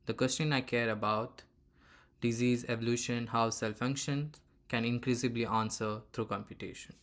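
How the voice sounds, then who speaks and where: A man from India in his thirties hosts a teaching channel and explains computational biology from a slide deck. He hesitates often, filling gaps with uh, and his pitch sits in the middle range. His voice rings out in a very echoey room. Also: steady tone, normal speed, fairly bright tone. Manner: hesitant, frequent uh fillers.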